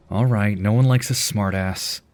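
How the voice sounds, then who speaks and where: A man's voice: fairly low pitch, slow pace, a little mellow. A man playing a practical, good-natured team leader in an audio drama. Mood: brisk.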